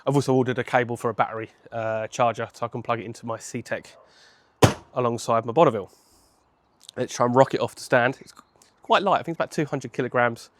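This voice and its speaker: A man, hoarse from a cold, chatting casually to camera outdoors on a home patio, rambling and correcting himself as he goes. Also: medium pitch, fairly even intonation, fast talker, a bit bright, slight background noise. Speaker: motorcycle vlogger.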